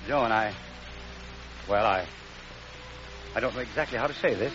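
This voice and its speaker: An actor playing an earnest young man torn in love, who speaks haltingly. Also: lowish voice, noisy background, lightly acted. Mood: hesitant. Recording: muffled old radio recording with music.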